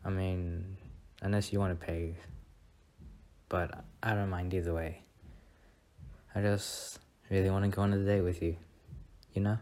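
A young man playing a shy, sweet student boyfriend on a first date in a soft-spoken ASMR roleplay, speaking in a hesitant, bashful way. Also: deep voice, level tone, unhurried, pauses often. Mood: shy, hopeful.

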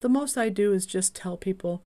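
A woman reading the answers of a calm older male company founder in an imagined interview, in a modest, measured way. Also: mid-range voice, natural voice.

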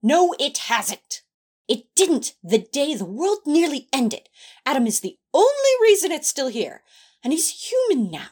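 A woman's voice: sits high, very animated, measured pace, darkish tone. A woman playing a prim, anxious male angel who scolds in exasperation, in a fan audio drama. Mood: pleading, firm.

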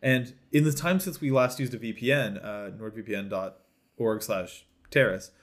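A man's voice: medium pitch, a little slow. A man co-hosting a podcast, chatting casually and animatedly, laughing often and restarting his sentences. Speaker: podcast co-host. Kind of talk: casual chat recapping a reality show.

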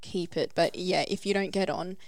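A woman's voice: on the low side, steady tone, moderate pace, no echo. A woman who works as a child therapist, chatting casually and often trailing off with ums. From Australia. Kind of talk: casual chat.

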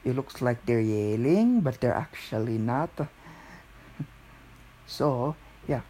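A man rambles casually at a slow pace in a put-on Filipino accent, with a jokey manner. His pitch is average, and light steady noise sits behind his voice. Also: rough recording. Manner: jokey, often breaking into giggles.

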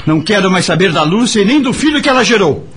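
Bitter, animated, high-ish husband. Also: male voice, fast, breathy edge, exaggerated voice. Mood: cold, scornful. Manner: harsh, dismissive. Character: cold, bitter husband disowning wife and baby. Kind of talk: radio soap opera acting.

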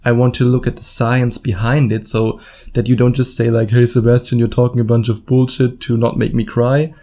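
Mellow man with a German accent. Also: mid-range voice, little pitch movement, moderate pace. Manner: informal, blunt, swears casually, acts out imagined quotes. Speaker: podcast host and dating coach. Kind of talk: solo podcast monologue, casual direct address.

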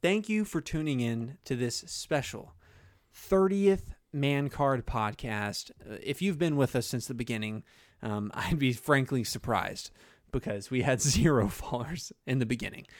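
A young man co-hosting a podcast, animated and joking, often laughing. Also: medium pitch, no echo, clean studio sound. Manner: animated, laughing, quick jokey asides. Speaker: podcast co-host. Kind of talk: casual podcast chat.

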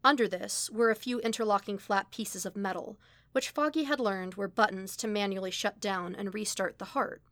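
A woman reading a fan story aloud in a steady, even storytelling manner. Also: medium pitch, moderate pace, no echo. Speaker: podfic reader. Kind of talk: scripted narration of a fan story.

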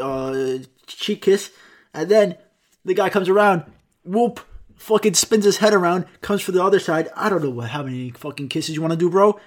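Rambling, cursing young man, slightly high voice. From United States. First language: Greek. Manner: rambling, profane, self-mocking. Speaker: YouTuber answering viewer questions. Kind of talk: casual solo Q and A.

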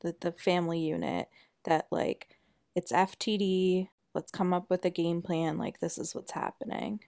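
A woman hosts the interview, feeling her way into questions and rephrasing them as she asks. Her voice sits a little low and sounds dry, recorded close with no room around it. She has an easy conversational manner. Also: little pitch movement, normal speed, vocal fry, darkish tone, no echo. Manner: thoughtful, searching questions with restarts. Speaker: podcast host.

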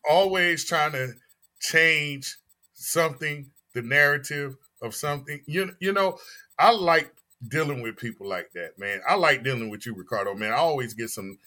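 Podcast host, man, early forties, bright tone, animated and emphatic. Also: a little high, normal speed. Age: 40s. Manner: animated, emphatic, repeats phrases. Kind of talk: casual chat.

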